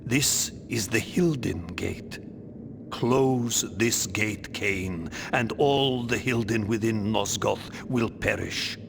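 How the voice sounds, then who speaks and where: An actor playing an ancient, solemn vampire elder in a fantasy game, speaking with measured, formal, portentous gravity. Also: male voice, on the low side, gravelly, background noise. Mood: grave. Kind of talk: theatrical fantasy game cutscene acting.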